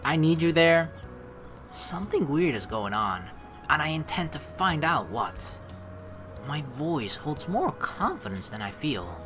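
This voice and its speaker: Man, animated playthrough commentary, reads story aloud, casual, swears freely, background music. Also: fairly high pitch, a little slow. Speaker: let's play streamer.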